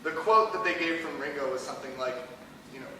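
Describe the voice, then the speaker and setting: A man's voice: a little high, fast, bright, forceful tone, slight background noise. An American man doing a rambling improv monologue on stage, laughing at his own stories. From United States. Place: small theater stage. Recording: echoey hall from far off, with crowd.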